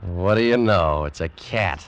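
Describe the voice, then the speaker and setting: An actor playing a determined, haunted adventurer with an American accent, acting and narrating dramatically in 1940s radio style. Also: male voice, low-pitched, a little slow. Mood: teasing. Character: determined, haunted adventurer narrating his perilous voyage, man. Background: dramatic music.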